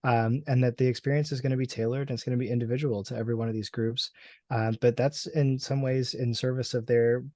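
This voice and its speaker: Mellow-voiced man professor, dry sound. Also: mid-range voice, flat delivery, quick pace, dry recording. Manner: reflective, a few fillers. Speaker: professor on a panel. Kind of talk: casual panel discussion.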